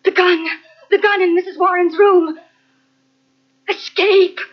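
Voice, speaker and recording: A woman's voice: very high-pitched, steady tone, takes it slowly. An actress playing a terrified mute young woman whose racing thoughts are spoken in breathless, clipped, repeated phrases in a radio suspense drama. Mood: desperate, urgent. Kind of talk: old-time radio suspense drama acting. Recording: muffled old radio tape, faint music behind.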